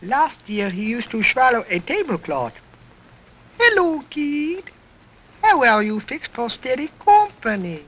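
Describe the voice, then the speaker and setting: A man's voice: very high-pitched, big pitch swings, unhurried, noisy background, pitched above the performer's own voice, full character voice. A man voicing his cheeky ventriloquist dummy, a fresh-mouthed little boy who talks back in broken English. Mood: wisecracking. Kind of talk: vaudeville ventriloquist routine. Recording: dull lo-fi old recording.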